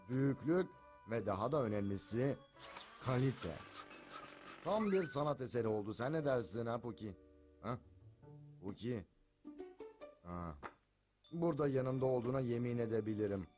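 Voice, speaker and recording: A man's voice: mid-range voice, darkish tone, natural voice. Cartoon dub: male actor as sarcastic, smug house cat, mid-range voice, theatrical delivery, music underneath. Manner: wry, self-assured, theatrical.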